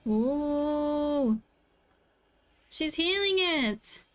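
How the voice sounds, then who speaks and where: A woman's voice: high voice, animated, very slow, fairly bright tone. A woman streamer reacting casually to a video game in an exclamatory, playful way. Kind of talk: casual live gameplay reaction.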